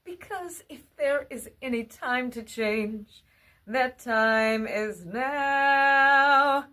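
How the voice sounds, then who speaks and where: A woman voicing a sorrowful, pleading giant storm cloud in a children's story, with drawn-out, half-sung phrases. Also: high-pitched, bright, forceful tone, full character voice.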